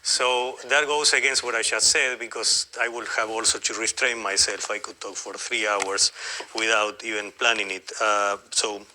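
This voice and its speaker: Bright, forceful Argentine-accented man lecturing. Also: lowish voice, fairly even intonation. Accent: Argentine Spanish. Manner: halting, discursive, many self-corrections. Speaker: guest lecturer, academic historian. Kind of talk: spontaneous academic lecture.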